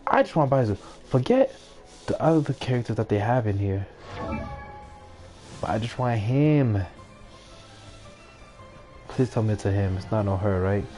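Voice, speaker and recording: A man's voice: on the low side, a little slow, pauses often, dark tone. A young man giving casual, slangy, rambling commentary as he streams a game. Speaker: gaming streamer. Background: game music.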